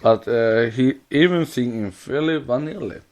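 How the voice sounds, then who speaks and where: A man with a Swedish accent, a native Swedish speaker, co-hosting a casual fan podcast in halting, loosely worded English. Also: mid-range voice, darkish tone. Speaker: podcast co-host.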